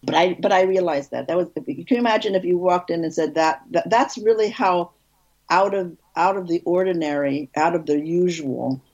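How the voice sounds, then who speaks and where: A woman film director, an interview guest, telling animated stories in casual conversation with frequent restarts. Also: moderate pace, highs cut off. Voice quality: husky, low.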